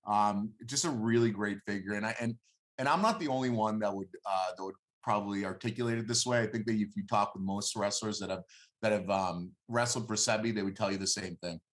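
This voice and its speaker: A man in his thirties whose first language is Hebrew, answering interview questions in a halting, conversational way, repeating words as he thinks aloud. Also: on the low side. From born in Israel, lived there until seven. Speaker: interview guest, former college wrestler.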